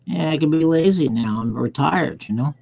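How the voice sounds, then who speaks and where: Older male, fairly high voice, hesitant conversational delivery, muffled online-call sound. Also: moderate pace, creaky, a little mellow. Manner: hesitant, repeats himself. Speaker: chat participant. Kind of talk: casual group chat. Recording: dull online call audio.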